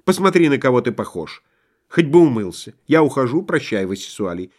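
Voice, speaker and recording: A curt, exasperated wife as a male narrator plays her in a comic storybook. She speaks coolly and matter-of-factly, with flat dismissiveness. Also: mid-range voice, fairly quick. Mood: calm, dismissive.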